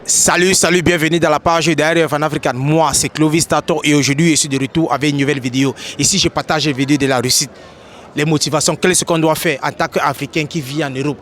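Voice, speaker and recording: Fast-talking male street interviewer. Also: a little high. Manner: animated, repeats questions with "n'est-ce pas?". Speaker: host, interviewer. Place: outdoors in a city street.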